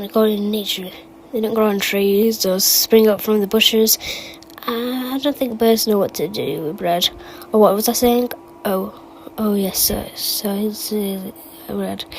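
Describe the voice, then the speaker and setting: A woman voicing an absent-minded animatronic bear from a game, who tells stories in a halting, stammering, deadpan way and wanders off the point. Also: mid-range voice, slight background noise, lightly acted. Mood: rambling, musing. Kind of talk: fan recital of game voice lines.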